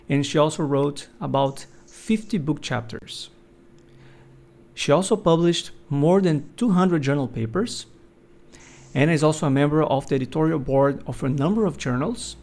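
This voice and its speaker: A man hosting an interview, politely introducing his guest and asking measured, confirming questions. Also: a little high, darkish tone. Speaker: interview host.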